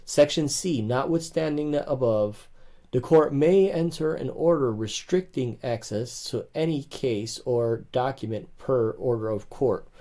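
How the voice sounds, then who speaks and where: A young man hosts a casual livestream, reading legal rules aloud and breaking off to chat with viewers. His voice is mellow and dark at a middle pitch. He reads in quick bursts with small pauses between passages. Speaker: livestream host.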